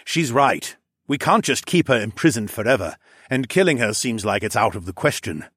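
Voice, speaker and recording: A man's voice: medium pitch, lively intonation, normal speed, a bit bright, husky, dry recording. Scornful skeptic for fantasy audiobook, man performer; blunt, dismissive, close dry sound. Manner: scoffing.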